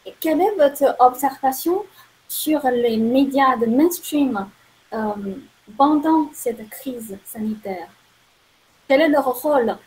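A woman's voice: high voice, slow pace, darkish tone, low-quality recording. A young woman hosting an interview over a video call, asking her questions hesitantly with many fillers. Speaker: host. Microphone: video call webcam.

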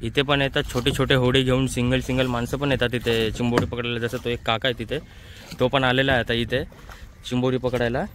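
A man's voice: medium pitch, flat delivery, fairly quick. Man with Mumbai accent, noisy outdoor vlog. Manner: repetitive, pointing things out to viewers. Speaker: vlogger. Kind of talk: casual vlog commentary to camera. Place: outdoors on a small open boat on a creek. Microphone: handheld phone. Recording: noisy outdoor boat recording.

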